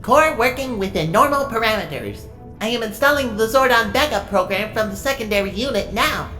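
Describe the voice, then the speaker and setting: A woman's voice: on the high side, animated, brisk, a bit bright, reverberant, soft background music. A woman playing a helpful, dutiful robot assistant who reports in a precise, formal way in an audio drama. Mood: brisk, focused.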